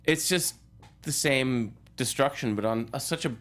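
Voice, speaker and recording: A man's voice: medium pitch, measured pace, fairly bright tone. A man chatting casually as a film reporter on a talk show panel.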